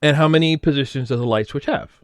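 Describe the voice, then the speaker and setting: A man hosting a casual livestream, talking and explaining things in a rambling, animated way. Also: medium pitch, fast, no echo, clean studio sound. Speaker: livestream host. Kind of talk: casual livestream chat with his mother.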